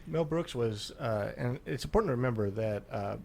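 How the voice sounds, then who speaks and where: A young man hosting a local radio show, introducing his guest with lots of pauses and fillers. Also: mid-range voice, animated, creaky, a little mellow. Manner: hesitant, many fillers. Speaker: radio host. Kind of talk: casual radio chat. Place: radio studio.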